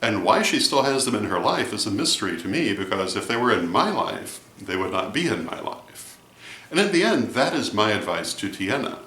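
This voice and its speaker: A man giving advice in a vlog monologue, speaking in a measured, deliberate way with emphatic phrasing. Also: on the low side, very animated, pushed and bright. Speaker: vlog host and religious advisor. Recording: roomy, echoey sound.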